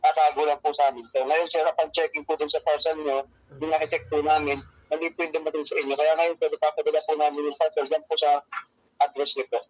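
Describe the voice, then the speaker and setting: High-pitched Filipino man on a muffled phone. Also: flat delivery, quick pace. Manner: deferential, repetitive, explanatory, using frequent polite address. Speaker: courier hub customer service caller. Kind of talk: phone customer-service explanation. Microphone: telephone. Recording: muffled lo-fi phone call, dry.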